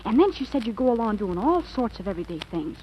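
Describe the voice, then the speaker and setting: A dreamy, stubborn teenage girl in 1940s radio comedy. Her voice is highish and swings up and down with melodrama and pouting slang, on a dull old broadcast recording. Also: very animated, fast talker, warm tone, slight background noise. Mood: dreamy, melodramatic. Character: fifteen-year-old girl, dramatic, stubborn, dreamy would-be writer.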